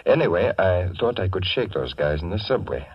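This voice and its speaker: Secretive, polite Greek patriot in vintage radio drama: very low, earnest, dry room, dulled old recording. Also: male voice, lively intonation, fairly quick, breathy edge, dry recording, lo-fi sound. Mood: earnest, confiding. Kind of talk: 1940s radio drama acting.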